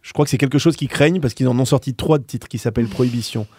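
A man presenting music on the radio in casual chat, with a deadpan, dry sense of humor. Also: mid-range voice, fast, breathy edge.

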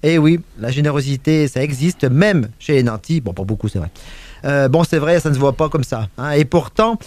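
A man's voice: on the high side, animated, normal speed, darkish tone, no echo. Chatty, hesitant French radio man. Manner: hesitant, many fillers and restarts, chatty asides. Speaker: radio presenter. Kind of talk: casual music radio chat.

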